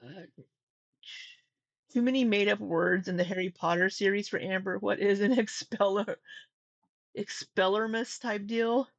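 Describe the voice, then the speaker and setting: A woman's voice: mid-range voice, steady tone, a little slow, long pauses, dry recording. A woman hosting a reaction commentary, talking in a conversational way with frequent fillers and restarts. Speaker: commentary host.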